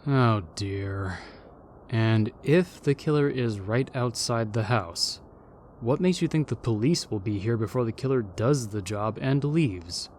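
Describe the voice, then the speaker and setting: A man's voice: medium pitch, expressive, unhurried, a little mellow, a little hiss or hum, lightly acted. A man playing a sardonic long-dead ghost in an audio roleplay, speaking formally with dry condescension. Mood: dry, unimpressed. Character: haughty, sardonic long-dead male ghost, named James.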